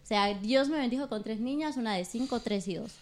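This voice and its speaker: A woman from Peru with a Peruvian Spanish accent and a fairly high voice, in her thirties. She talks as a manicurist guest on a radio talk show, earnest and thankful, laughing easily. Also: brisk, slight background noise.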